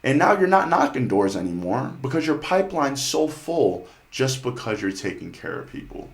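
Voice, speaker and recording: A man with a deep voice, a podcast guest talking sales in an intense, driving way. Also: sing-song, normal speed, gravelly, roomy sound. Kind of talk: casual sales talk.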